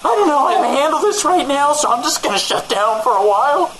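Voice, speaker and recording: A man's voice: very high-pitched, brisk, a loud crowd, rough recording. A man playing a vain, pretentious, easily rattled rich co-host bickering on a comedy radio show. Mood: panicked, indignant. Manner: pompous, excitable, bickering. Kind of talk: improvised-sounding comedy radio banter.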